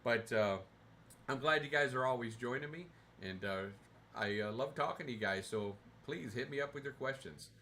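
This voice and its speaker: A man streaming a game from his recliner at home, reading out viewer comments and answering them in a relaxed, rambling way with lots of fillers and restarts. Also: medium pitch, normal speed, slight background noise. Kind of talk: casual livestream chat, answering viewer comments. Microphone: desk mic, sometimes too far from it.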